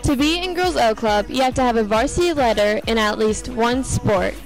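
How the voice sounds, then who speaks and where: A woman's voice: on the high side, normal speed, music in the background, poor audio. A teenage girl reporting on a school club for a student news show, holding a handheld mic in a gym. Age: teens. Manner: plain reading of club details. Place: school gymnasium, indoors.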